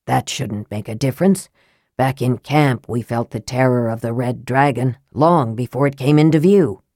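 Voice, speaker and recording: A woman voicing a grim, caustic young male wizard in an audiobook, slow and hushed with bitter deliberation. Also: extremely low pitch, dry recording, clean studio sound, pitched below the performer's own voice. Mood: measured, wary. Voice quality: hushed, whispery. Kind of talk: audiobook character acting.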